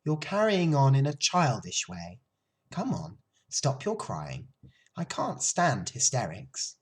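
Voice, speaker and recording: A woman voicing a calm, firm female spider in a storybook, measured, thoughtful and no-nonsense. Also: extremely low pitch, breathy edge, pitched above the performer's own voice, exaggerated voice. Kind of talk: storybook character voice.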